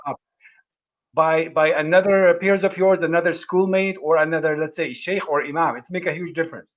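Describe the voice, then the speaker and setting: A man hosting a livestream conversation, talking haltingly with many restarts as he searches for words. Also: on the high side, steady tone, muffled recording. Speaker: host.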